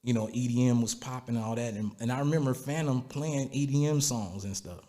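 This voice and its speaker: Raspy-voiced man telling stories. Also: mid-range voice, a little fast. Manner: relaxed, rambling, repeats "you know what I'm saying". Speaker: music producer and singer, guest. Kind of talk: casual interview storytelling.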